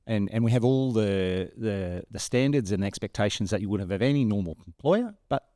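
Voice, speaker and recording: A man's voice: lowish voice, lively intonation, fairly quick, mellow, no room sound, studio quality. A man in his forties with an Australian accent, chatting as a school principal being interviewed on a podcast. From rural New South Wales, Australia. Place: bright glass-walled room, indoors. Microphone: close podcast mic on stand.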